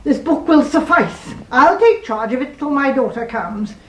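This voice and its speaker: A woman's voice: high voice, lively intonation, normal speed. An actress playing a stern, disapproving older woman in a farce, curt and imperious. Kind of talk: vintage stage farce acting. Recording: muffled, echoey, far from microphone.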